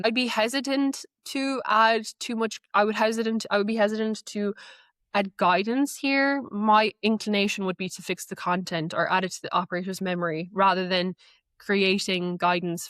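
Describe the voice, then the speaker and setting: Woman talking through software, dry close sound. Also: mid-range voice, fairly even intonation, moderate pace, no echo. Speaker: guest, AI knowledge manager. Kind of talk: conversational software walkthrough.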